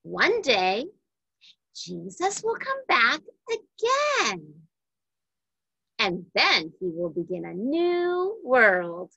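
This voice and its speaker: A woman reading a children's Bible story aloud, with deliberate, emphatic phrasing for young listeners. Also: fairly high pitch, wildly swooping pitch, creaky, pushed and bright, slightly rough, no echo, rough recording.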